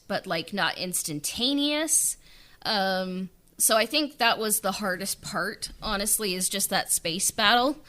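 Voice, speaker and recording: A woman's voice: mid-range voice, sharp tone. A woman co-hosting a podcast, talking in a rambling casual way with many fillers about writing an audio drama. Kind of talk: casual behind-the-scenes chat.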